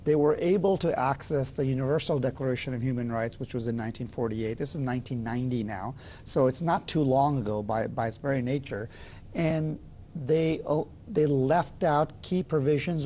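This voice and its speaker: A man hosting a panel discussion, asking probing questions in a halting way with repeats and rephrasing. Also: medium pitch, a little mellow, a little hiss or hum.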